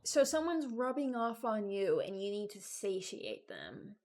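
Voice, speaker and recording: A woman's voice: medium pitch, big pitch swings, measured pace, darkish tone. A woman giving casual reaction commentary as a video host, rambling and thinking aloud as she ranks game voice lines.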